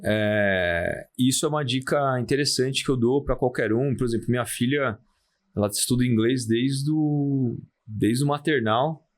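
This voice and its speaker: Brazilian man, thirties, calm podcast guest; halting delivery, mid-pitched, frequent restarts. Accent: Brazilian Portuguese. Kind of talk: casual interview talk.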